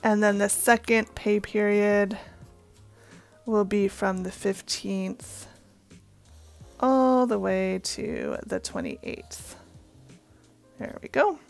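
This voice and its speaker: A woman from Canada with a slightly hoarse, raspy voice, hosting a budgeting video and chatting casually as she works through her paperwork. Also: medium pitch, very animated, slow pace, long pauses, faint music behind. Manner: friendly, chatty with frequent ums and soft asides. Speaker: budgeting channel host. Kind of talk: casual talk-through while working on paper.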